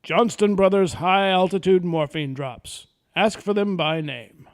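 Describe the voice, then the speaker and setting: A man's voice: high-pitched, measured pace. A man playing a bombastic old-time radio announcer in a parody of a 1940s horror show.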